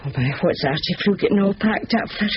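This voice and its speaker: A woman's voice: medium pitch, expressive, fast talker, natural voice. A woman acting as a nosy, middle-aged landlady in a 1970s radio play. She exclaims suspiciously and stretches her key words. The sound is dull, with a light hiss. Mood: suspicious, bossy. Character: nosy, suspicious landlady, wife of a former goalkeeper. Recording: dull, hissy old radio broadcast.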